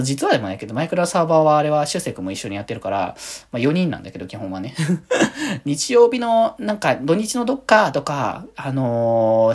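A woman with a low, husky voice hosts a casual solo show. Her pitch swings widely as she rambles on in an animated, giggly way. The talk is loose and informal, like an audio diary. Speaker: solo podcast host and streamer. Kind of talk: casual diary-style chat.

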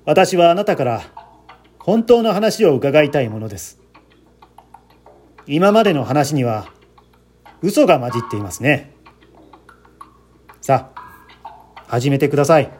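A composed, shrewd male inspector from a detective radio play, performed by a man reading aloud. He probes in measured, subdued phrases, pitched under the reader's usual voice. Some noise sits behind him. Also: sing-song, darkish tone. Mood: quietly accusing. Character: calm, shrewd male police inspector questioning a suspect.

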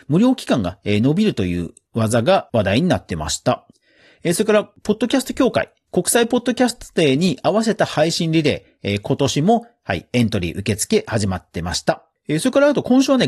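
A man's voice: a little high, a little mellow, breathy edge. A man hosting a podcast, giving chatty, casual commentary on the news in a conversational way. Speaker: podcast host.

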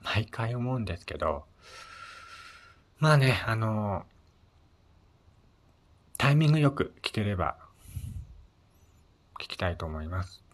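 Guy with a low voice doing a chatty solo podcast, polite, pauses w little fillers. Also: sing-song. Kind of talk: casual solo radio chat.

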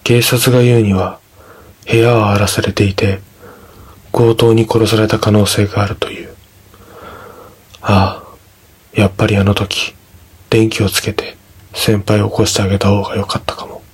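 A man narrating a scripted ghost story in a measured, dramatic storytelling manner. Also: fairly low pitch, flat delivery, slightly rough.

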